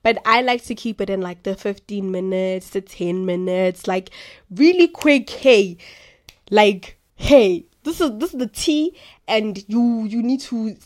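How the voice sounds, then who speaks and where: A young woman hosting a podcast, talking about faith in a chatty, rambling way full of fillers, with a naturally deep voice. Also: expressive, measured pace, a little mellow. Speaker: podcast host. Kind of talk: casual faith talk.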